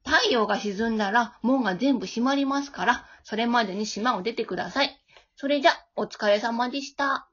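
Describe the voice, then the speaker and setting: A mild, workaday ogre voiced by a woman in a comedy radio drama. The voice is fairly high, casually polite and businesslike. The recording is slightly dull. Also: a bit bright. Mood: matter-of-fact. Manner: drawn-out, casually polite, matter-of-fact. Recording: dull lo-fi audio.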